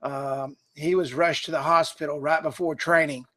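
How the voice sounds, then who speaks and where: A hoarse man, talking casually and rambling with fillers while he hosts a live online training from his desk. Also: a little high, steady tone, moderate pace, a bit bright. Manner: rambling, chatty, many fillers and restarts. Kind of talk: casual live webinar talk.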